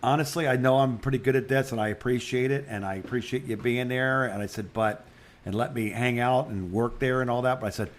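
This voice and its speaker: A man's voice: medium pitch, fairly even intonation, brisk. A man in his sixties chatting casually as a podcast co-host, telling anecdotes in a rambling way with repeated phrases and restarts. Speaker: podcast co-host, retired radio broadcaster.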